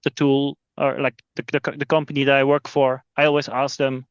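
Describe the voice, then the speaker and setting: A man's voice: medium pitch, fairly quick, clear, resonant tone, no echo. Man, podcast guest, sales executive, stumbling restarts, dry and muffled sound. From Belgium. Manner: repeats words as he restarts, lively. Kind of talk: casual interview talk.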